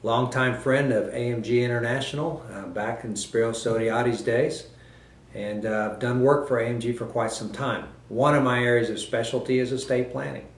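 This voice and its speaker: A male attorney giving a relaxed, conversational informational message. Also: mid-range voice, normal speed. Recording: echoey room.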